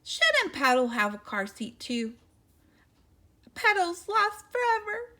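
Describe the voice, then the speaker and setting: Woman voicing a high, fretful little girl mouse. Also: very animated, takes it slowly, pushed and bright, exaggerated voice. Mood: worried. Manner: fretful, emphatic questioning. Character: anxious little girl mouse who frets over everything.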